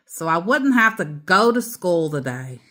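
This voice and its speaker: A woman's voice: on the low side, big pitch swings, measured pace, sharp tone, exaggerated voice. A woman voicing a young boy bear, a confident and bossy older brother in a children's storybook. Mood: grumbling.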